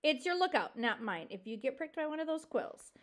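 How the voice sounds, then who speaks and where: A cranky old male porcupine out of a storybook, acted out by a woman. He is high-voiced for an old grump and swoops about as he scolds. He blusters and bellows with open scorn. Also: big pitch swings, a little fast. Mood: grumbling. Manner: blustering, insulting, bellowing and screeching. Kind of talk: animated storybook character reading.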